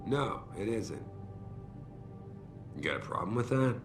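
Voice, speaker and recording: An actor playing a commanding middle-aged corporate boss who gives blunt, clipped orders in a game cutscene. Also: male voice, on the low side, sing-song, measured pace, music in the background. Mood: stern. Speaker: video game cutscene character. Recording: game cutscene with loud score.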